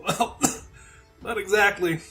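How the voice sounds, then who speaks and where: A man's voice: high-pitched, steady tone, takes it slowly, sharp tone, faint music behind, voice pushed up, full character voice. A man voicing a wounded, desperate young fantasy hero in an audiobook, with strained, exclaiming delivery. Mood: pained, taunting. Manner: strained, exclaiming, breaking into pained laughter.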